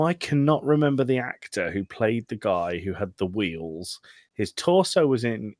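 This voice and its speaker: A man with a lowish voice hosts a casual film discussion. He is blunt and exasperated and swears freely. The sound is dry, with very little room around him. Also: no echo. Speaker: podcast host. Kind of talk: casual film review chat.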